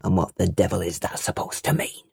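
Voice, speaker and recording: A woman voicing an angry, bullying middle-aged farm uncle in a storybook, booming and coarse. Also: steady tone, fairly quick, gravelly, breathy edge, no room sound, studio quality, exaggerated voice. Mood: sneering, angry. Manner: coarse, shouting and sneering.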